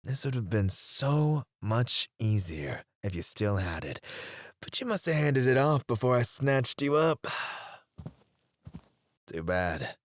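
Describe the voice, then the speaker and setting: A man playing a menacing, teasing kidnapper in an ASMR roleplay, drawling his taunts and dropping into hushed murmurs close to the microphone. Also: medium pitch, sing-song, slow pace, no echo. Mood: taunting, cruel. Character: menacing, teasing male kidnapper interrogating a captive. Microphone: close studio microphone.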